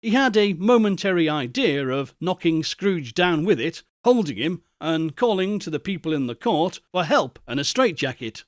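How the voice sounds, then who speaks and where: A man narrating an audiobook in an expressive, theatrical storytelling style with deliberate emphasis. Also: a little high, no room sound, clean studio sound.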